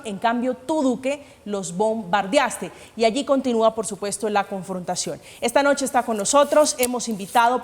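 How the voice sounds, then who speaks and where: A woman formally moderating a televised debate as its host. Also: fairly high pitch. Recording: long hall-like reverb.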